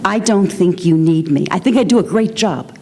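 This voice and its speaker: A woman speaking as a council candidate at a forum, deliberate and emphatic in short sentences. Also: on the low side, background noise. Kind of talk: forum closing statement.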